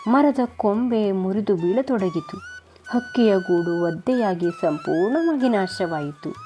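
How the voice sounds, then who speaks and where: A woman's voice: mid-range voice, wildly swooping pitch, measured pace, mellow, soft background music. A woman narrating a moral story for children as a storyteller.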